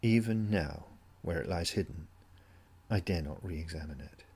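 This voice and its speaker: A man reads a haunting first-person story as a dramatic audiobook. His voice is fairly low, with a gravelly creak and a husky grain. He takes his time, keeping the delivery brooding and full of suspense. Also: unhurried, darkish tone. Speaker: story narrator.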